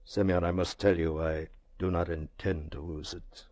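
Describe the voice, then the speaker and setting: A man's voice: deep voice, steady tone, a little mellow, no echo. A male actor playing a brooding, desperate wealthy banker in a grave, theatrical old radio drama style. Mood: grimly tense. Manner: slow, grave, theatrical delivery.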